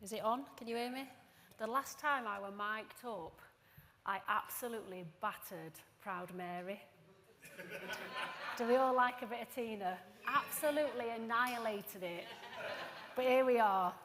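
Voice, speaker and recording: A woman's voice: a little high, moderate pace, fairly bright tone, a little hiss or hum. A woman in her forties with a Yorkshire accent, giving a jokey, self-deprecating conference talk full of asides and fillers. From Doncaster, England. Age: 40s. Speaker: conference speaker. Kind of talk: chatty, jokey presentation. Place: conference hall. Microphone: handheld or lapel mic. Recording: long hall reverb.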